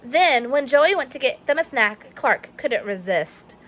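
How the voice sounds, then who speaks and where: A woman reading a children's storybook aloud in an expressive narrating style. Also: sits high, a little slow. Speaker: storybook reader.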